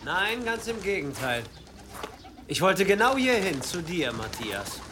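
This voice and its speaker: A man's voice: sits high, very animated, unhurried, background noise. A man playing a defiant young pilgrim in a radio play, pointed and confrontational. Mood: accusing. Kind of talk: German radio play acting. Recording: faint pigeon ambience.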